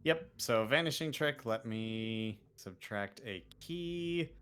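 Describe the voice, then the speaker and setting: A man's voice: mid-range voice, expressive, slow pace, fairly bright tone, clean tone. A man bantering casually as a player in a tabletop roleplaying game, declaring his actions in a playful, cheeky way.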